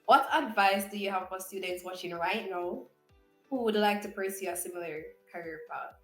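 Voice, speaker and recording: A woman's voice: mid-range voice, normal speed, a bit bright, soft background music. Polite young woman interviewer, echoey. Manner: polite, addresses guest as sir. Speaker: student interviewer. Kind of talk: scripted interview questions.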